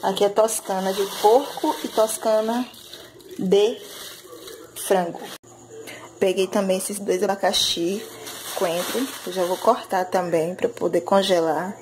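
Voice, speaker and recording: A woman's voice: mid-range voice, background noise. A woman in her thirties from Brazil, chatting casually as she lists her monthly groceries in a home vlog, recorded on a handheld phone in her kitchen. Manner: chatty, listing items with fillers like "né". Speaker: vlogger. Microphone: handheld phone camera.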